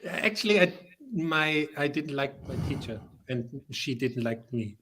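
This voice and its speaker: A man co-hosting a podcast, chatty and quick to react with exclamations. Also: mid-range voice. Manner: chatty, laughs often, expressive. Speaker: podcast co-host. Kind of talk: casual chat.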